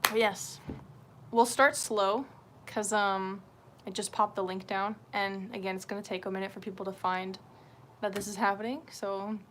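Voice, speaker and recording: A woman's voice: mid-range voice, steady tone, moderate pace. A woman hosting a casual livestream, rambling informally and self-deprecatingly, swearing freely as she talks. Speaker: livestream host. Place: indoors at home, window open. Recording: noisy room with open window.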